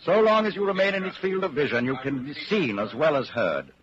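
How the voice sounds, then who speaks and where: An actor playing a grave narrator of a bleak dystopian tale, with dramatic, deliberate delivery in 1940s radio style. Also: male voice, a little high, expressive, lightly acted. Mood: grave, ominous. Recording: muffled, noisy 1940s radio broadcast.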